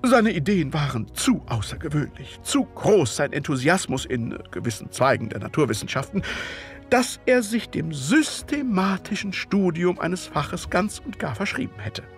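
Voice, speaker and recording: A genteel Victorian gentleman narrates an audio drama in a slightly high, animated voice. He is formal and wordy, pausing politely over his phrasing, with soft music behind him. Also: full character voice. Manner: formal, measured, hesitating politely.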